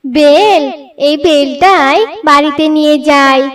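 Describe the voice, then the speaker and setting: A woman plays a dutiful little schoolgirl with an extremely high voice. She speaks slowly and politely, in a pleading childlike way, as in a moral cartoon, a little away from the mic. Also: distant mic. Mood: surprised, wondering. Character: earnest, dutiful young schoolgirl caring for her mother. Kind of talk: Bengali moral cartoon voice acting.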